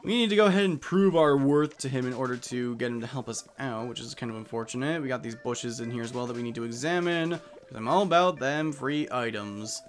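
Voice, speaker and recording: A man's voice: medium pitch, a little fast, hardly any pauses. A man giving casual commentary on a video game he is playing, explaining its mechanics as he goes. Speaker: let's play host. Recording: game music behind.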